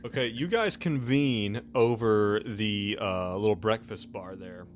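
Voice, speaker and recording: A man's voice: fairly low pitch, a little slow, a little hiss or hum. A man narrating casually as game master of a tabletop game, in a conversational, jokey way.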